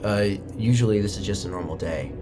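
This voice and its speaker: A man's voice: on the low side, fairly quick. A man narrating a tabletop game as game master, describing scenes steadily with the odd filler. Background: music.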